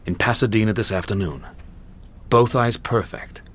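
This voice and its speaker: Man playing a deep-voiced, steady American doctor. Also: moderate pace, close to an everyday voice. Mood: urgent, persuasive. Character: steady, persuasive male doctor and loyal friend. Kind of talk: radio drama acting.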